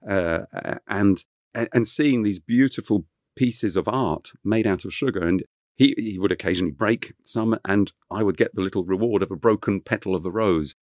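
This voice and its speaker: Middle-aged man, deep and low, dry recording; rambling storyteller guest with a French first language. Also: normal speed, a little mellow, no echo. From England (Kent). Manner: rambling, many fillers and restarts. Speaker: chef guest. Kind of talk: relaxed interview storytelling.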